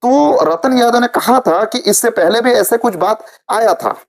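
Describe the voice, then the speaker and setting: A man's voice: very high voice, animated, unhurried, creaky. A man presenting informal news commentary to viewers, repeating phrases for emphasis.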